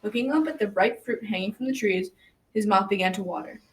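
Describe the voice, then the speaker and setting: A woman's voice: mid-range voice, brisk, natural voice. A young adolescent girl narrating a folktale as a grandfather in a scripted school radio drama. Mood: storytelling. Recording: faint noise, slightly distant microphone.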